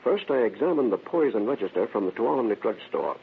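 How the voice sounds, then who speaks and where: An actor playing a confident American criminologist with a husky voice, reporting formally and pedantically in an old radio drama. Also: male voice, mid-range voice, lively intonation, fairly quick, heavily acted. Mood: precise, assured. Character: precise, confident criminologist reporting forensic findings. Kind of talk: old-time radio crime drama acting. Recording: tinny vintage radio recording.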